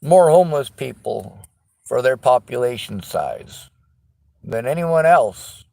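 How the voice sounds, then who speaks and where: A tired man with a Southern US accent, talking slowly in a rambling monologue to camera. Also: medium pitch, animated, vocal fry, darkish tone, no room sound. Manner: slow, tired, drawling delivery. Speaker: vlogger.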